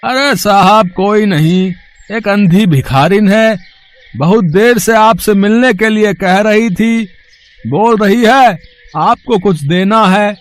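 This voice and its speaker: An actor playing a scornful gatekeeper in a moral story cartoon, brusque, hectoring and dismissive. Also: male voice, high-pitched, slow pace. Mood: deferential, complaining. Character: gruff, scornful male gatekeeper of rich man's house.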